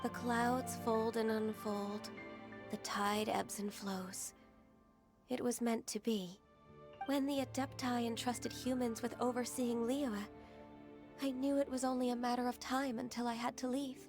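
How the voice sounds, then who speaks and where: A woman voicing a gentle, dutiful half-divine secretary in a game, speaking in a soft, measured, wistful way. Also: fairly high pitch, flat delivery, music in the background. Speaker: game character.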